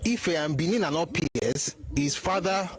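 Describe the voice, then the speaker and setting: A man's voice: on the high side, animated, unhurried, crowd noise. A middle-aged man with a Nigerian Yoruba accent giving an opinionated commentary monologue, emphatic and full of asides.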